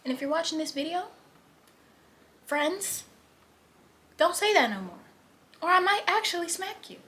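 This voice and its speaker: An eighteen-year-old woman chatting casually as a vlogger, full of "like" fillers and drifting between lively and hushed. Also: very high voice, measured pace, a little hiss or hum. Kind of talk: casual chat.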